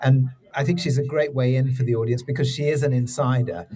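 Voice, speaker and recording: A man's voice: medium pitch, fairly even intonation, a little fast, warm tone. A man speaking as a drama director being interviewed, explaining things conversationally with loose, informal phrasing. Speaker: audio drama director and executive producer, interview guest.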